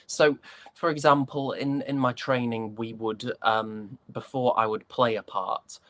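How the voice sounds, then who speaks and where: A man's voice: medium pitch, fairly even intonation, measured pace, fairly bright tone. A man with a British accent chatting casually as an interview guest, hesitant and jokingly self-deprecating. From United Kingdom.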